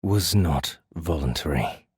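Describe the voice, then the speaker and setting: A man playing a menacing, courteous male fae prisoner in an audiobook, with a smooth, hushed voice and formal, deliberate delivery. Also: extremely low pitch, lively intonation, dry recording, clean studio sound, heavily acted. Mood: grave, restrained. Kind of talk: audiobook character acting.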